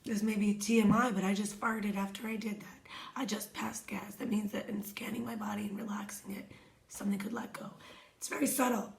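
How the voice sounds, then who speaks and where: A hoarse woman giving a reflective self-help talk as a wellness coach, speaking softly and meditatively and often dropping to a whisper. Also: medium pitch, flat delivery, a little fast. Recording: echoey room.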